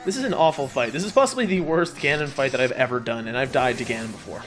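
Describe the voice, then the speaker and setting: A man's voice: medium pitch, fast, fairly bright tone. A man hosting a let's play with casual, rambling, jokey and self-deprecating commentary over game music. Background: video game music.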